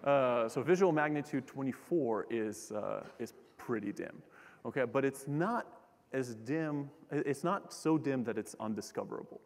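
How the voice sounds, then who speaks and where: Young male speaker, mid-pitched, chatty science talk full of fillers and restarts, in a big reverberant hall. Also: darkish tone. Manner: casual and joking, with frequent fillers and restarts. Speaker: keynote speaker, astronomer. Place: large lecture hall stage.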